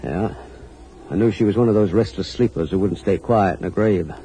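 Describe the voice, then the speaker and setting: A man playing a controlling, morbidly calm older husband in an old radio horror drama, folksy and menacing. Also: on the low side, fairly quick, lightly acted, rough recording. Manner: folksy, menacing, matter-of-fact. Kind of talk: 1940s radio drama acting. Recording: old radio broadcast with faint noise.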